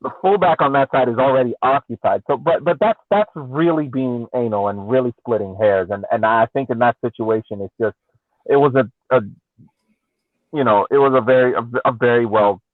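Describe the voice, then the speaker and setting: Male panelist, casual sports analysis, medium pitch, thin radio-like call audio. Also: moderate pace, no echo. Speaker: podcast panelist. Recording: tinny phone-like call audio.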